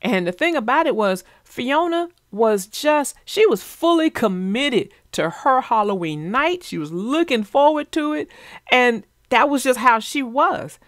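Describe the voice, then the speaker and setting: A woman's voice: high voice, lively intonation, a little slow, no room sound, studio quality. A woman reading aloud and chatting expressively as a children's book narrator and host. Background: music.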